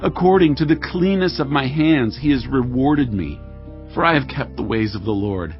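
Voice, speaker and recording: A devout warrior king played by a male actor declaims a psalm of praise with fervor and solemnity. His pitch moves widely through the verses. The recording is slightly dull, with soft music underneath. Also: expressive, normal speed, lightly acted. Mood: confident, devout. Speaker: Bible voice actor. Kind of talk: dramatized scripture reading. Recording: dull audio with music behind.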